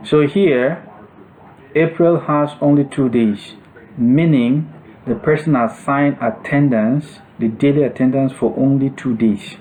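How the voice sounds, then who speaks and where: A man narrating a step-by-step software tutorial as an instructor, explaining things in short, separated phrases. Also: medium pitch, measured pace, slight background noise, poor audio.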